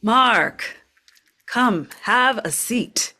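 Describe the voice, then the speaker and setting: A woman playing a stoic, politely chipper corporate orientation facilitator in a script table read, brisk, procedural and artificially courteous. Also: on the high side, expressive, bright, forceful tone, heavily acted. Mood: pleased, formal.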